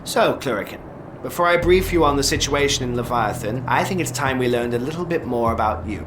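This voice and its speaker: An actor playing a suave, sardonic immortal mentor in an audio drama, speaking formally and theatrically with condescending teasing. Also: male voice, medium pitch, animated, brisk, noisy background, close to an everyday voice. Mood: needling.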